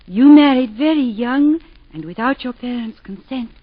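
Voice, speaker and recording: An actress playing a composed, witty wife and mother who speaks in a gently teasing, lightly ironic way in 1940s radio acting. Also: on the high side, expressive, normal speed. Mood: sly. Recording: dull 1940s radio broadcast.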